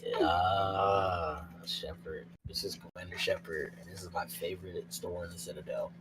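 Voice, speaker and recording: A man's voice: sits low, steady tone, normal speed, noisy background. A man in casual banter, slangy and profane, repeating himself for emphasis. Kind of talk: casual group chat.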